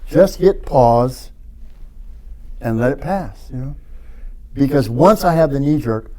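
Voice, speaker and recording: Male podcast guest, mellow dark tone, halting reflective delivery. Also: medium pitch, animated. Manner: halting, repeats words and restarts often. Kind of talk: reflective conversation. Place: studio room.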